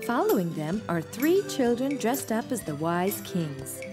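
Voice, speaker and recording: A woman voicing a gentle, patient young mother who explains things encouragingly in a preschool cartoon. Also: mid-range voice, sing-song, measured pace, over music. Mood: patient, bright.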